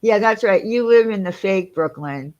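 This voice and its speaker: A woman's voice: medium pitch, expressive, moderate pace, darkish tone, lo-fi sound. Chatty Long Island woman on a livestream. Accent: Long Island New York. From Long Island, New York. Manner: chatty, indignant, rambling with many fillers. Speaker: livestream host reading chat. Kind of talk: casual livestream chat.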